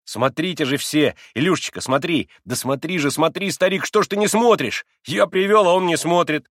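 A man's voice: mid-range voice, lively intonation, moderate pace, fairly bright tone, dry recording, studio quality. A man voicing a proud, eager teenage boy in an audiobook, exclaiming and explaining in a bossy showman's way. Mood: triumphant, commanding. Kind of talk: acted audiobook dialogue.

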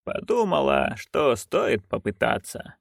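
Brief: read as a stern old woman witch, low, quiet and unhurried. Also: vocal fry, dry recording, studio quality, exaggerated voice. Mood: dry, curt. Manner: low, quiet, slow and flat. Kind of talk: audiobook character voice.

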